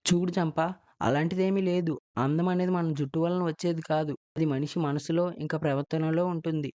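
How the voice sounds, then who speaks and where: A man voicing a calm, loyal young groom in a cartoon story. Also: fairly high pitch, brisk, no room sound, muffled recording, natural voice. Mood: tender.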